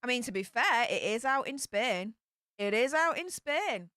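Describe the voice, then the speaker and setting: A woman with a broad Yorkshire accent hosts a casual live stream. She reads out viewer comments and chats back at them, and her voice is bright and smooth. The sound is close and dry, with no room around it. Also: on the high side, animated, moderate pace, no room sound. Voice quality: broad Yorkshire slang and greetings. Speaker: livestream host reading chat.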